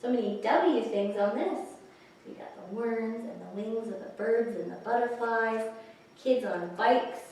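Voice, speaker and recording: A woman reading picture books aloud to young children, pausing to explain the pictures and ask questions. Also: a little high, darkish tone. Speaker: children's librarian, story time host. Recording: echoey, distant microphone, faint hiss.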